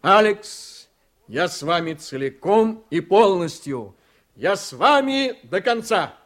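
An actor playing a defeated politician giving a solemn, declamatory speech of loyalty in a radio play, with emphatic pauses. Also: male voice, high voice, very animated, heavily acted.

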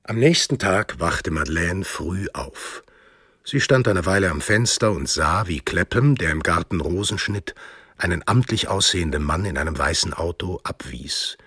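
A man narrating a scripted radio drama in a measured, literary storytelling manner, with a deep, husky voice. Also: animated, fairly bright tone, no echo, clean studio sound.

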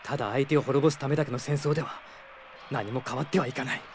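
An actor playing a young, idealistic prince in an anime-style radio drama, speaking with polite, earnest formality. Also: male voice, medium pitch, fast, slight background noise, close to an everyday voice. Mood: pleading, impassioned.

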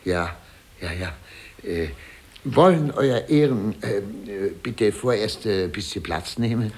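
A man's voice: fairly low pitch, lively intonation, a little hiss or hum. A man playing a flustered, over-polite small-town mayor in a German radio play, stammering and ceremonious. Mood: flustered, deferential. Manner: stammering, ceremonious, halting hedging.

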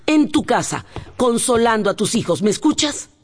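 A worried village mother in a radio drama. Her husky voice swings widely as she speaks with emotion and reproach. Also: on the high side, very animated, moderate pace. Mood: reproachful. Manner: emotional, scolding and lamenting.

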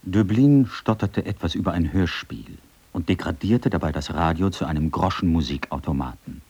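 An actor voicing a 1930 Berlin radio figure, reading formal period texts with emphatic declamation. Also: male voice, sits low, mellow, breathy edge, no echo, full character voice. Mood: indignant. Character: 1930 Berlin radio critics and writer addressing listeners. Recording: dull, slightly muffled cassette recording.

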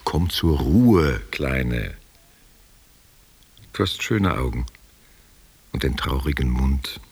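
Very deep, gravelly old man. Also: lively intonation, slow, darkish tone, full character voice. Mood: tender, intimate. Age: older. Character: old, tender, self-mocking man courting a younger woman. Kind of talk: German radio drama acting. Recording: noisy, hissy radio recording.

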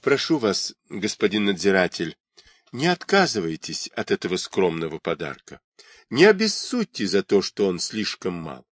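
Man doing a humble, tired exile, low and quiet, very polite. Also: huge pitch swings, normal speed, dry recording, clean studio sound, heavily acted. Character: humble exiled male martial arts instructor, polite and weary.

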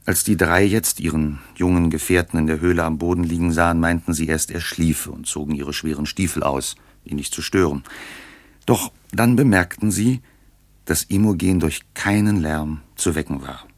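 A male narrator with a remarkably deep voice. The recording is very dry. He reads the story of a classical radio play in a composed storytelling manner. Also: fairly even intonation, moderate pace, no room sound.

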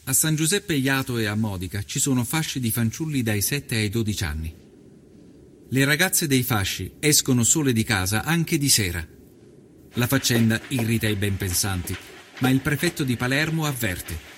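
Guy acting as old-time 1890s journalist narrating his travels, earnest and measured, documentary style, lots of noise behind. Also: medium pitch, expressive, fairly bright tone, lightly acted. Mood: observant, wry. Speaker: voice actor narrating. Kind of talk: scripted documentary narration, first-person reportage.

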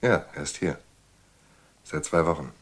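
A composed, courteous institution official in a radio play. His voice is extremely deep as he explains things formally. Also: lively intonation, measured pace, heavily acted. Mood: calm, courteous. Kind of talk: audio drama dialogue.

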